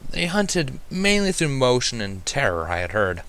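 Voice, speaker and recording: A man's voice: medium pitch, sing-song, moderate pace, fairly bright tone. A man reading a first-person story aloud as an amateur narrator, in a wry, dry manner. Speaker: amateur fan fiction reader.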